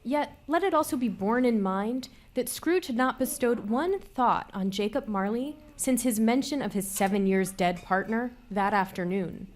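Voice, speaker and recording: A woman's voice: on the high side, normal speed, hardly any pauses. A woman narrating a live radio drama in a storytelling style.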